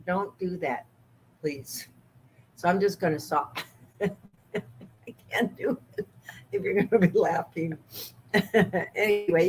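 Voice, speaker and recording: A woman chats her way through a makeup demonstration, giggling often and giving gentle, bossy instructions to her client. Her voice sits a little low for a woman. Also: a little hiss or hum, low-quality recording. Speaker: makeup artist.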